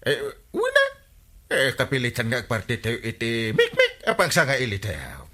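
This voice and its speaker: An actor playing a bossy, excitable village captain in a comedy radio drama, scolding and insisting with an Ilocano accent. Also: male voice, mid-range voice, moderate pace. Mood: self-important.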